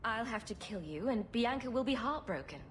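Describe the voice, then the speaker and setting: An actress playing a sarcastic, cool-headed rogue champion in a video game, with a dry manner. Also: female voice, a little high, lively intonation, a little fast, a little hiss or hum, close to an everyday voice. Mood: firm, dry. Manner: dry, sardonic. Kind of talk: video game cutscene acting.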